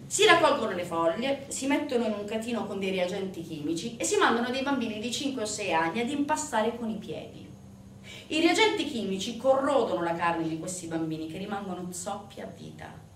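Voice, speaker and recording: A woman's voice: mid-range voice, lively intonation, a little fast. A woman delivering a theatrical narrative monologue to an audience, emphatic and addressing listeners directly with rhetorical questions. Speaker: stage actress performing a monologue. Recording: roomy, distant mic, faint background noise.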